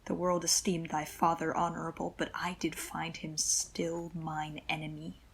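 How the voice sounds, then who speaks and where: A woman playing a stern, usurping Duke in a Shakespearean radio play, with a gravelly, husky voice and curt command. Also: deep voice, monotone, normal speed, heavily acted. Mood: cold displeasure. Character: stern, imperious usurping male Duke, a father.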